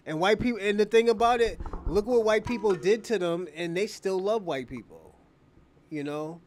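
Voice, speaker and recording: High-voiced man hosting a rambling livestream. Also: normal speed, darkish tone, a little hiss or hum. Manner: opinionated, rambling, repeats phrases. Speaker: live stream show host. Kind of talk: casual off-the-cuff livestream talk.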